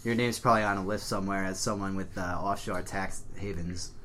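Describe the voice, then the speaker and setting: A man's voice: low voice, steady tone, a little fast, fairly bright tone, a little hiss or hum. Dry stammering podcast man. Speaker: podcast co-host. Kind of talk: comedic podcast banter.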